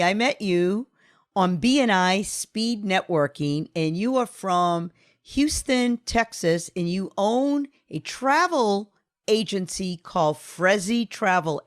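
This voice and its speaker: A woman hosting a talk podcast, upbeat and effusive as she introduces and questions her guest. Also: mid-range voice. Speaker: podcast host. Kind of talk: enthusiastic host interview.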